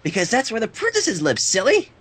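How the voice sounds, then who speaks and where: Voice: mid-range voice, wildly swooping pitch, fairly quick, a bit bright, breathy edge, pitched above the performer's own voice, full character voice. A reader voicing a hyper, cheerful young female cartoon party pony with a bubbly, playful, exclaiming delivery.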